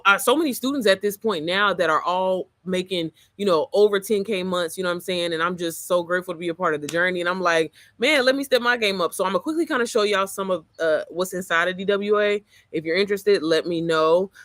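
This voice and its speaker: A young adult woman with a low, manly-sounding voice, talking as an online business coach in a casual, profane screen-share walkthrough, calling the viewer girl and baby. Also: a bit bright, dry recording, studio quality.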